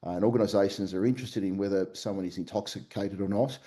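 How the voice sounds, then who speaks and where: A man with an Australian accent, a company CEO answering podcast interview questions remotely, halting often with fillers and restarts. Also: fairly low pitch, dark tone. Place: remote call from Melbourne.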